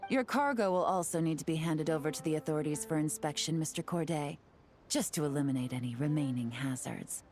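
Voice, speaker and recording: An actress plays a shrewd female intelligence agent in a video game. The voice is low and composed, confident and calculating in how it lays things out, with faint music underneath. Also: a little mellow, natural voice. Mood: smoothly reassuring. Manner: composed, assured, measured explaining. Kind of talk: game character dialogue.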